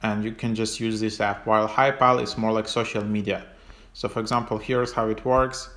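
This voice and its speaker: A man giving a casual, unscripted app walkthrough as a tutorial host, in a hesitant, halting way with trailing phrases. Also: fairly low pitch, level tone, fairly bright tone.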